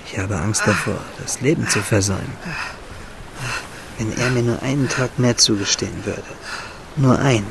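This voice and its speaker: An actor playing a dying young man in a radio drama, husky and gravelly, musing intimately in speech, bitter yet playful, asking and answering himself. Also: mid-range voice, slight background noise.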